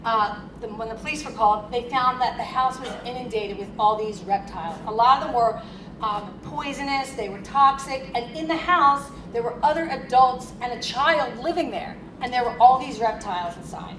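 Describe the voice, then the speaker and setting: A woman's voice: on the high side, moderate pace. Philly-accented woman giving a keynote. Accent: Philadelphia. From Philadelphia. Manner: lively, conversational and chatty. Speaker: keynote speaker. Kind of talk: conference keynote talk. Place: conference hall. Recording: echoey hall, distant mic, noisy.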